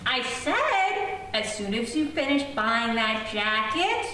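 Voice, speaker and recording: A woman's voice: fairly high pitch, sing-song, slow pace, bright, forceful tone, heavily acted. A woman playing a fussy, exasperated female department store floor walker with theatrical, scolding exclamations in old-time radio comedy style. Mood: stern, suspicious. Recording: distant, very echoey room with hiss.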